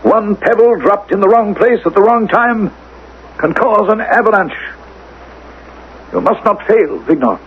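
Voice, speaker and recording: A man playing a grave, commanding ruler of a future world in radio drama, speaking formally and with measured authority. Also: high-pitched, lively intonation. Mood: coldly commanding. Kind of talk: 1980s radio drama acting. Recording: narrow-band old radio recording, faint noise.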